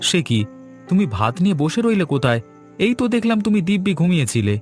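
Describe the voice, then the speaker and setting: A man's voice: sits high, sing-song, fast talker, soft background music. A man voicing an exasperated young husband in a village cartoon, grumbling and dismissive. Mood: irritated. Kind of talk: Bengali moral-tale cartoon dubbing.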